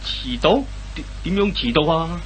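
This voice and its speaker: A man's voice: on the high side, expressive, normal speed, fairly bright tone, poor audio. A man acting a dim-witted, hapless boyfriend in a comic Hong Kong Cantonese radio play, stammering, exclaiming and flustered. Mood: baffled. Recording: old radio recording with faint noise and hum.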